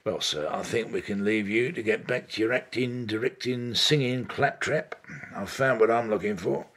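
An actor in a radio drama playing a rude, cynical chief inspector, blunt and gruff in manner. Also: male voice, fairly low pitch, moderate pace, a bit bright. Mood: cynical, brash.

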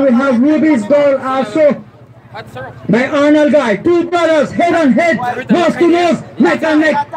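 A middle-aged man giving live horse race commentary outdoors at a track, speaking into a handheld mic and rising to shouts as the horses run. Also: very high-pitched, low-quality recording. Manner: excitable, rising to shouts at the finish. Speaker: race announcer. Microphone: handheld mic held close.

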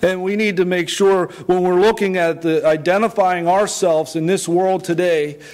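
A man's voice: sits high, fairly even intonation, moderate pace, darkish tone. A man in his forties preaching a sermon and reading scripture, often repeating words and stumbling as he goes. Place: indoor church, at a wooden pulpit.

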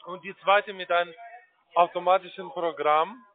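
A man with a foreign accent making a phone sales pitch in formal, halting German with grammar slips. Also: steady tone. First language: not German. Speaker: call-centre salesman. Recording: tinny narrow phone line.

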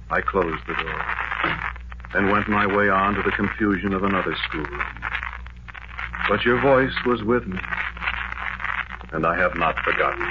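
An actor playing a lonely man who has searched for love all his life, narrating softly and poetically in 1940s radio style. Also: low-pitched. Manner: soft, wistful, poetic narration. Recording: muffled hissy 1940s radio broadcast.

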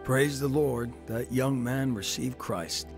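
A man's voice: medium pitch, slow pace, creaky, loud music behind the voice. A man narrating his own story of faith in a dramatized radio testimony, earnest and preacherly.

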